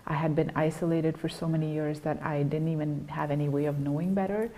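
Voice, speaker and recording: A young adult woman giving an earnest personal account as a guest in an interview, halting with fillers and restarts. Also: low voice, fairly even intonation, warm tone, a little hiss or hum.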